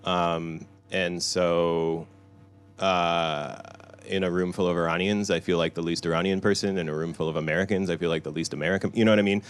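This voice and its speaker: Very deep-voiced man chatting candidly. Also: steady tone, brisk, clear, resonant tone. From Iran. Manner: loose and rambling, peppers speech with "right?" and "you know". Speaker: author at a book talk. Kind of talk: candid conversational talk. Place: bookstore event room.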